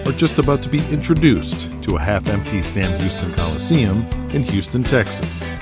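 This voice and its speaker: A man's voice: fairly low pitch, big pitch swings, moderate pace. A man narrating a scripted audio drama as a dramatic storyteller. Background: music.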